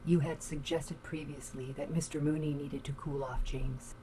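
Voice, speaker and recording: An actress voices a female home computer in a radio drama, lowish and soft-toned. She speaks in measured, literal, formal sentences with cold, possessive calm. There is faint background noise. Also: lightly acted, rough recording. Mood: coldly matter-of-fact. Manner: measured, emotionless, formal phrasing.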